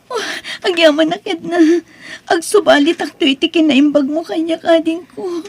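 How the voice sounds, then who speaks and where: High, plaintive Ilocano wife played by an actress. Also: female voice, normal speed. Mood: tearful. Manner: plaintive, imploring. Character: Ivy, wronged, long-suffering wife, tearful and pleading. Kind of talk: Ilocano radio-style melodrama acting. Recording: music under the voice.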